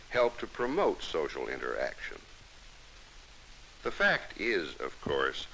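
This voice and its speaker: An old, faintly hissy early-1960s film soundtrack carries an American man's narration. His voice is crisp and forward, with lively rises and falls. He reads formally and instructively, like the scripted educational films of that time. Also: on the low side, low-quality recording.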